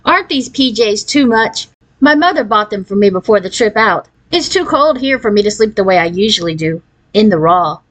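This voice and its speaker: A woman's voice: medium pitch, expressive, moderate pace, close to an everyday voice, low-quality recording. A woman voicing a trendy, self-assured young girl from California in a children's storybook, breezy and casually boastful.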